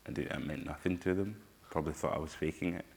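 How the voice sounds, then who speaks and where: A middle-aged man with a broad Glaswegian accent, speaking at a conference and telling a personal story in an earnest, halting way with many restarts. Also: extremely low pitch, steady tone, a little mellow, slight background noise. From Scotland.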